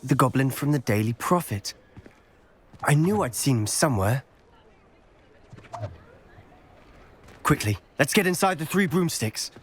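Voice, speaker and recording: A young actor playing a cautious, curious wizard schoolboy in a game, brisk and concerned. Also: male voice, mid-range voice, animated, a little hiss or hum, close to an everyday voice. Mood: anxious curious.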